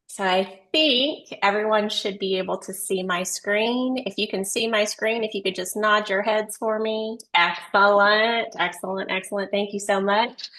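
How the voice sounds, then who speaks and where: A woman in her forties from Texas with a Southern drawl, giving a webinar lecture as a nurse educator over a video call in a warm, effusive manner. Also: medium pitch, fairly even intonation. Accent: Texan Southern drawl. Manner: warm, effusive, slow-paced. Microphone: computer mic on video call.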